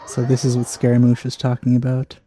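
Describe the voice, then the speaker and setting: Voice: mid-range voice, monotone, a little fast, mellow, soft background music. A let's play commentator chatting casually over a game in an amused, offhand way.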